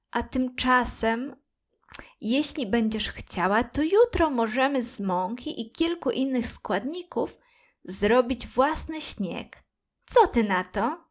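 A woman playing a patient, loving mother in a children's story, explaining things in a soothing, affectionate way. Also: a little high.

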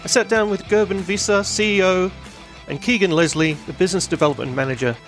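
A man's voice: sits high, quick pace, fairly bright tone, faint music behind. A man hosting a podcast, introducing guests and interviewing them conversationally. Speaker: podcast host.

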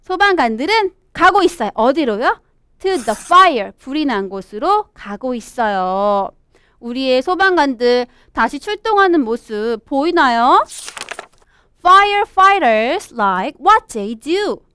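A young woman presenting a children's storybook English lesson, reading aloud and explaining in an expressive, sing-song teacher's manner. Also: high-pitched, slow, dry recording, clean studio sound. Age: young adult. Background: background music.

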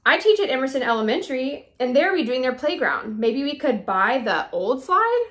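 A woman's voice: high-pitched, big pitch swings, moderate pace, a bit bright. A woman voicing a helpful, practical neighbor woman in a children's storybook, upbeat and full of suggestions. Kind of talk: children's storybook read-aloud.

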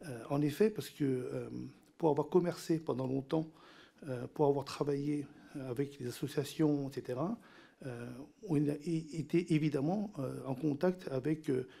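Middle-aged man from Cambodia, Chinese dialect native, gravelly, dark tone, hesitant testimony, desk mic. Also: on the high side, moderate pace. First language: Teochew dialect. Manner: hesitant, many fillers and repeated words. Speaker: witness at a parliamentary hearing. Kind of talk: formal testimony. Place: large parliamentary committee room. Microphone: desk microphone.

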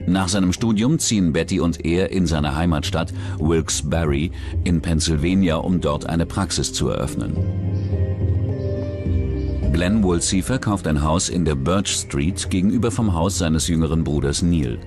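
A man narrating a scripted true-crime documentary in a measured, matter-of-fact manner. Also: sits low. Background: background music.

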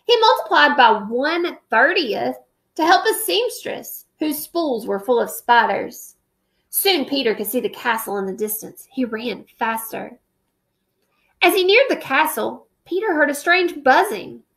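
A woman's voice: high voice, expressive, measured pace, gravelly, a bit bright. A woman in her thirties, a teacher, reading a picture book aloud with expression and then explaining a math lesson, recorded at home on a webcam. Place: living room at home, indoors. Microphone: webcam at close range.

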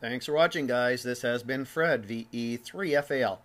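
A man's voice: medium pitch, moderate pace, background noise. A man narrating an amateur radio video in an informal, explanatory way.

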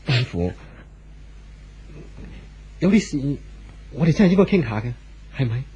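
An actor playing an anxious, devoted husband in a 1970s Hong Kong Cantonese radio drama, earnest and coaxing. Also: male voice, medium pitch, sing-song, unhurried, mellow, husky, full character voice. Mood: apologetic, coaxing. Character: anxious, pleading, devoted wealthy young husband. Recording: dull vintage radio recording with music.

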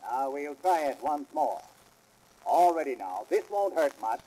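An actor playing a polite, businesslike dentist with formal, precise phrasing in an early comic record sketch. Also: male voice, a little high, sing-song, unhurried, dark tone, rough recording. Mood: reassuring. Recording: hissy old 78 rpm comedy record.

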